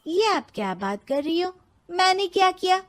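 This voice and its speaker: A woman's voice: extremely high pitch, sing-song, moderate pace, heavy background noise, voice pushed up. A woman voicing a cheeky, defiant young tomboy bride who breezily answers back in a Hindi cartoon story. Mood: indignant.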